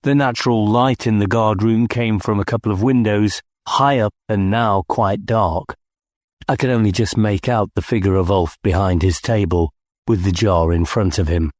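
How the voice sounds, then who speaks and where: A man's voice: lowish voice, steady tone, moderate pace, a little mellow, no room sound, studio quality. A man reading scripted first-person story narration as an audiobook narrator.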